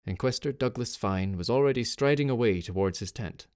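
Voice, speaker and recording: A man's voice: mid-range voice, fairly quick, a little mellow, clean tone. A man reading scripted fiction as an audiobook narrator, telling the story in a dramatic style.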